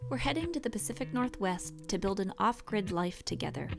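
Gravelly-voiced woman narrating a vlog. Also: mid-range voice, animated, fairly quick, loud music behind the voice. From Appalachia, USA. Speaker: nurse and co-host of sailing vlog.

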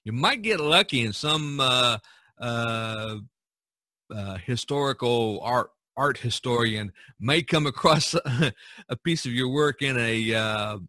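A man's voice: medium pitch, slow pace, sharp tone, dry recording, clean studio sound. A middle-aged or older man hosting a podcast in a casual, rambling monologue, repeating phrases for emphasis. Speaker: podcast host.

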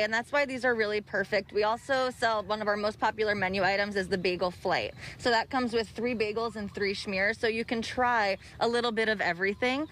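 A woman's voice: medium pitch, fairly bright tone, a little hiss or hum. Upbeat young woman explaining her bagels. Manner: upbeat, explanatory, lists menu items. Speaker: bagel business owner. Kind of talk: live TV interview. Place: outdoor park by the ocean. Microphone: handheld reporter mic.

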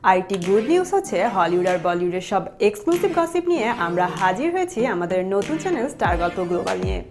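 A woman's voice: mid-range voice, very animated, fairly quick. A woman reading a scripted channel promo as an announcer. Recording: loud music behind voice. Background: loud music.

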